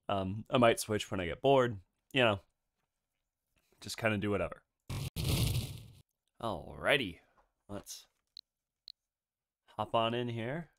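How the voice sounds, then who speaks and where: A man streaming a game, chatting casually and hesitantly with many ums while he has a cold. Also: on the low side. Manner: halting, full of ums, nervous laughs. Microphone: desk microphone.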